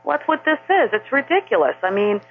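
A woman's voice: high-pitched, big pitch swings, fast, slight background noise. A woman calling in to a talk radio show, rambling and indignant with many fillers. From Maine. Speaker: caller. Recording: phone line, husky.

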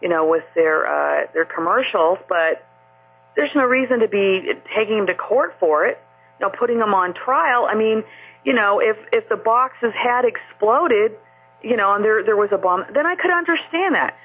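A woman's voice: mid-range voice, animated, moderate pace. A woman calling in to a talk radio show, rambling and indignant with many fillers. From Maine. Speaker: caller. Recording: phone line, husky.

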